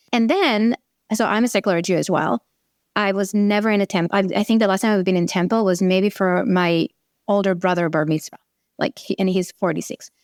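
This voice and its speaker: Israeli woman in her 40s, therapist, earnest and animated, mid-pitched voice, dry close sound. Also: no echo. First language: Hebrew. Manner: earnest, animated, swearing now and then. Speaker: therapist guest. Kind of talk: conversational interview.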